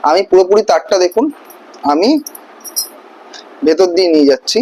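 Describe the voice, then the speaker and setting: A man's voice: sits high, fairly quick, darkish tone, a little hiss or hum. A man giving a casual, hands-on vlog tutorial step by step, often checking in with the viewer. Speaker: vlogger demonstrating motorcycle gear.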